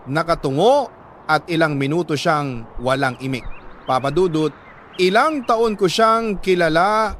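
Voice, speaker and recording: A man narrates a dramatic story in a somewhat high voice. His delivery is solemn and measured, with pauses for emphasis. There is some noise in the recording. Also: a bit bright. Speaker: narrator reading a listener's letter.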